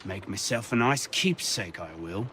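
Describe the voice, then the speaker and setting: An actor playing a mad, sadistic torturer in a video game, taunting with sing-song relish over background noise. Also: male voice, lowish voice, animated, a little slow. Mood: gleeful menace. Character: sadistic mad male torturer, gleefully menacing. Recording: clear noise behind the voice.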